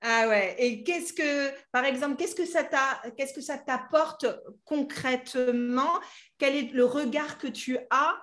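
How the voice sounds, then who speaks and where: A woman's voice: high voice, unhurried, fairly bright tone. A French woman hosting an interview, chatting easily with lots of fillers and laughter. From France. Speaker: host and French teacher. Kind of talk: friendly interview chat.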